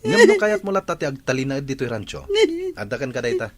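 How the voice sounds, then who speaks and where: A man's voice: medium pitch, huge pitch swings, quick pace. A man with a Filipino accent playing a cold, blunt young man in a romantic radio drama. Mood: defensive, blunt. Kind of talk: Ilocano radio drama acting. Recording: music under the voice.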